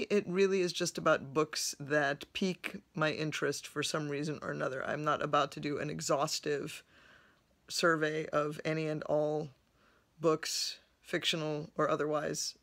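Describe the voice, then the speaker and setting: A woman from Lebanon hosting a book review vlog from her home library, speaking in a thoughtful, measured way with frequent pauses. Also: deep voice. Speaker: host.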